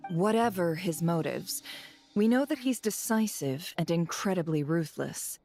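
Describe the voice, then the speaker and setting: An actress voicing a calm, decisive female leader in a video game, businesslike and steady. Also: fairly low pitch, measured pace, creaky, soft background music.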